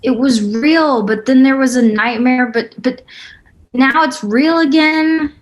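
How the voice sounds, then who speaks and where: A woman's voice: high-pitched, slow. A woman playing a frantic, insistent young woman who believes she is a ghost, in a script table read. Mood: confused, anxious.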